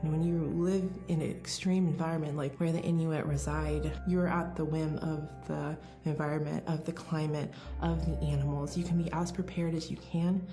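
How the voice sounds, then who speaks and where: A woman's voice: sits low, level tone, moderate pace, hardly any pauses, dark tone. A woman reflecting and explaining cultural values in a documentary interview. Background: music.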